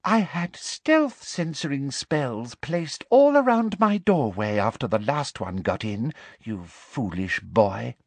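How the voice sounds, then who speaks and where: An overbearing female official in a fantasy audiobook, performed by a man. Her pitch is far up and swoops widely. She speaks in formal, sneering phrases, a cruel bully sure of her power. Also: animated, slow, few pauses, darkish tone, dry recording. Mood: menacing.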